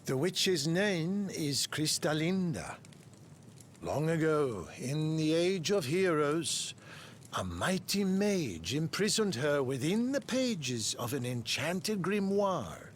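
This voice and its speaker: A man voicing a kindly, formal scholar of arcane arts in a video game, telling things in a measured, storytelling way. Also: a little high, very animated, a little hiss or hum. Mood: earnest, storytelling. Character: kindly, courteous, self-important male scholar of arcane arts. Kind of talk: video game character exposition.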